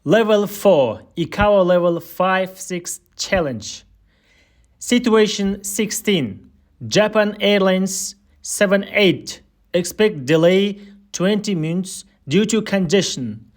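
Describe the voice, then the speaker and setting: A man reading a scripted aviation listening drill as an instructor, giving air traffic control phrases with measured, deliberate enunciation. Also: high voice, lively intonation.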